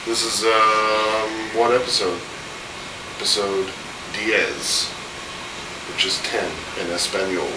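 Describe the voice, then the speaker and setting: A man's voice: fairly low pitch, fairly even intonation, takes it slowly, long pauses. A man in his thirties with a cold, hosting a casual home video and chatting loosely with lots of fillers. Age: 30s. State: has a cold, voice a little off. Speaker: host. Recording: echoey room, distant mic, air conditioner hum.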